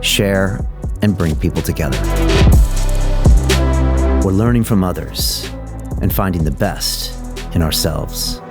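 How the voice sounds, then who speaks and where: Deep slow man over loud guitar. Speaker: show intro narrator. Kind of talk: scripted voice-over. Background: loud guitar music.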